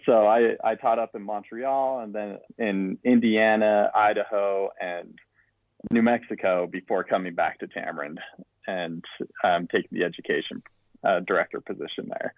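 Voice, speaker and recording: A man's voice: fairly low pitch, fairly even intonation, moderate pace, no echo. Man on a tinny, dry phone-like line. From Utah, US. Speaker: education director guest. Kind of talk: casual interview chat.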